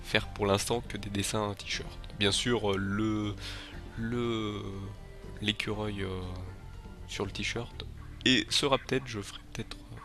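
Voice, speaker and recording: A man's voice: lowish voice, fairly even intonation, takes it slowly, sharp tone, faint music behind. A man with a head cold talking casually to his channel's viewers, rambling and hesitant, with frequent filler sounds and restarts. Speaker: channel host. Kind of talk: casual video announcement to viewers.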